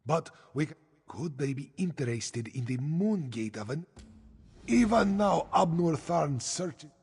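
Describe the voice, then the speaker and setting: An actor playing a calm, weary, wise cat-man advisor in a fantasy video game, with a husky voice and measured counseling manner. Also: fairly high pitch, full character voice. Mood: grave. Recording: long hall reverb.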